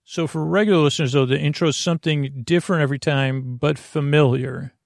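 A man with a gravelly voice hosting a sleep podcast, rambling through a bedtime monologue, over-explaining and trailing off mid-thought. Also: a little high, steady tone, a little fast, no room sound, clean studio sound. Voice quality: gravelly, creaky. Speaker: podcast host.

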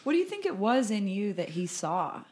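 A woman's voice: medium pitch, big pitch swings, a little fast, a little mellow, husky. A woman hosting a podcast, chatting casually and bluntly with plenty of swearing. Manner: informal, blunt, expletive-laden. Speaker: podcast host.

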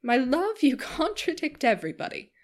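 A woman reader voicing a cheerful, giddy young wife who gushes in quick, eager chatter. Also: on the high side, animated, natural voice. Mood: gushing. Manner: gushing, breathless chatter.

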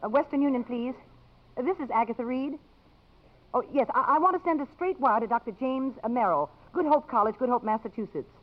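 An actress playing a brisk, wry, privately sentimental congresswoman in the style of 1950s American radio drama. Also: a little high, lively intonation, close to an everyday voice. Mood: brisk, businesslike. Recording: muffled, noisy 1950s radio broadcast recording.

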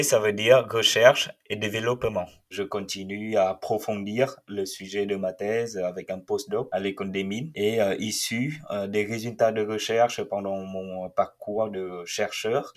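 A man in his twenties with a fairly low voice gives a first-person account of his work. He talks haltingly, filling his pauses often as he explains. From Vietnam. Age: 20s. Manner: hesitant, many filled pauses. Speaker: researcher and startup founder.

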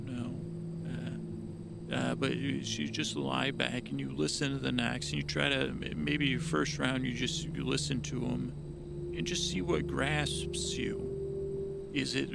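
A man's voice: mid-range voice, creaky, very noisy. A man hosting a podcast, improvising a meandering monologue that halts, repeats itself and trails off. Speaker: podcast host. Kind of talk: rambling improvised bedtime story monologue.

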